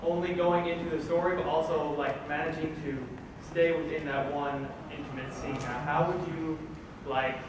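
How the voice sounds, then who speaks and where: A flustered man asking a panel a question from the audience, halting and filling gaps with small words. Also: on the high side, steady tone, noisy background. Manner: flustered, halting, says "like" often. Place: convention panel room. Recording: distant, echoey hall, loud crowd.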